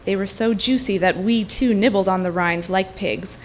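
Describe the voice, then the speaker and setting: A woman reading literary fiction aloud at a live event, in deliberate, fluent narration with pauses. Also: mid-range voice. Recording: live event with background noise.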